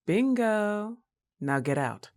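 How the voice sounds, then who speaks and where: A woman's voice: mid-range voice, very animated, takes it slowly, noisy background. A woman playing a laid-back, teasing, slightly annoyed young goth roommate in a roleplay audio, with a sarcastic, casual drawl. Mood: playfully defensive. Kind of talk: acted roleplay ASMR monologue.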